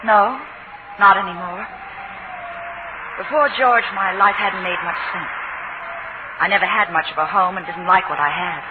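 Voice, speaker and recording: A woman's voice: mid-range voice, moderate pace, long pauses, close to an everyday voice. Woman playing an earnest young american widow, plain-spoken, hissy thin 40s radio recording. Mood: reflective. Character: earnest, steadfast young war widow at a hotel. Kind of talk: 1940s radio acting. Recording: noisy, phone-like 1940s radio recording.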